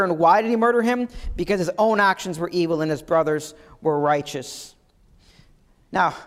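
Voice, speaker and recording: A man with a Canadian accent preaches a sermon, emphatically repeating his key phrases. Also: high voice. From Ontario, Canada. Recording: long hall reverb.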